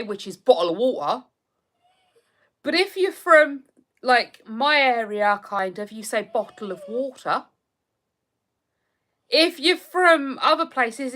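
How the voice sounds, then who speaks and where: An English woman in her thirties chats casually on her livestream and reacts to viewer comments as they come in. Her voice sits fairly high and bright, and it swings widely in pitch as she talks. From England. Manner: chatty, animated, reacts to comments. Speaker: livestream host.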